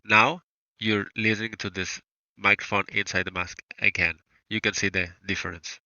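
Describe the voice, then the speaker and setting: A man's voice: lowish voice, little pitch movement, moderate pace, bright, forceful tone, no echo. A man from Spain with a Spanish accent, demonstrating a product through a headset microphone inside a mask. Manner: explanatory, careful. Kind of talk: product demo. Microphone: headset mic inside a mask. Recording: muffled dull headset mic.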